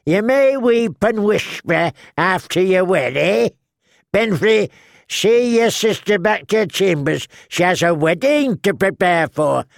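A man's voice: high-pitched, lively intonation, a little slow, vocal fry, dry recording, studio quality, voice pushed up, full character voice. A man voicing a sly, grasping old lord who laughs wheezily and tacks "eh?" onto his sentences. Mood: leering. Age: older. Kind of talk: audiobook character voice.

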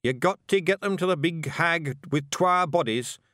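A man voicing a small, blustering male fairy clan chief in a broad Scottish accent, gruff and boastful. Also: on the high side, bright, forceful tone, no echo, studio quality, pitched above the performer's own voice. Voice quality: gruff, boastful, quick to bristle.

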